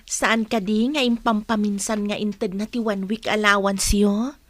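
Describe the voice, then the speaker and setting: A woman's voice: mid-range voice, little pitch movement, a little slow, close to an everyday voice. A woman playing a worried, scolding mother in an Ilocano radio comedy-drama. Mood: firm. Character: worried, scolding mother of school-age daughters.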